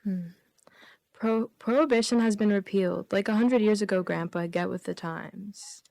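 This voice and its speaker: A woman's voice: mid-range voice, normal speed, gravelly, a little mellow, dry recording. A woman chatting casually and informally, a bit hesitant, as a guest on a radio comedy show. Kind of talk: casual chat and joke reading. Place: small radio studio.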